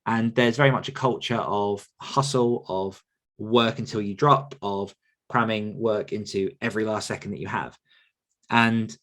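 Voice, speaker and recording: A man hosting a podcast, answering questions casually and thoughtfully, feeling his way through sentences and correcting himself. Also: lowish voice. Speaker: podcast host and author coach answering questions. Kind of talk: casual, reflective Q&A talk.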